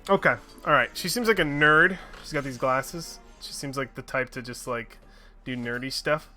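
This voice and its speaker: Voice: mid-range voice, normal speed, bright, forceful tone, faint music behind. A streamer chatting casually while playing a game, swearing freely and laughing at their own mistakes. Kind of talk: casual gaming livestream chat.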